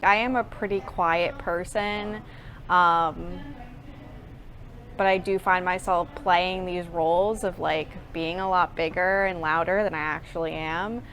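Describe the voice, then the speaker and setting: An American actress from New Jersey in a theatre cast interview, voice on the low side, slight noise behind. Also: female voice, fairly even intonation, a little slow, fairly bright tone. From New Jersey, United States. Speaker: musical theatre actor.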